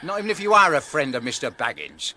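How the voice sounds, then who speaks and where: An actor with a rural English accent playing a gruff, defiant old country hobbit in a radio drama, blunt and stubborn. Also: male voice, fairly high pitch, big pitch swings, fast talker, bright, forceful tone, slight background noise, heavily acted. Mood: defiant, suspicious.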